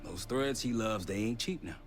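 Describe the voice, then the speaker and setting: Low-voiced, serious covert operative male actor. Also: lively intonation, moderate pace, soft background music, natural voice. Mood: troubled, doubtful. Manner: plain-spoken, serious. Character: earnest, steady covert operative, loyal friend, adult man. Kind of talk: cinematic game voice acting.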